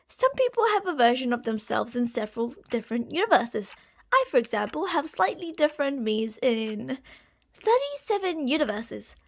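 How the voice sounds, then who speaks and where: High-voiced, lovestruck stalker girlfriend, acted by a woman. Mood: excited, devoted. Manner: coaxing, explanatory, fond pet names, theatrical emphasis. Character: lovestruck obsessive female stalker from a parallel universe. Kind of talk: ASMR roleplay monologue addressed to the listener.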